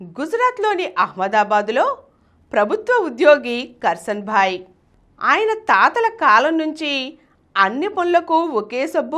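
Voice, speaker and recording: A woman hosts and reads a scripted story. Her voice sits high and swings widely in pitch. She stresses key words with an expressive storyteller's emphasis. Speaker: host and reader of a business success story show.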